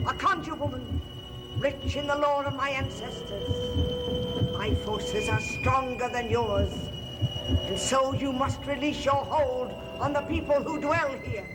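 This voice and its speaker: A woman's voice: high voice, unhurried, very noisy. An actress playing a defiant conjure woman in a gothic soap opera, declaiming incantations solemnly over music. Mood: solemn, commanding. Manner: solemn, declamatory, ritual delivery. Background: loud eerie music and humming.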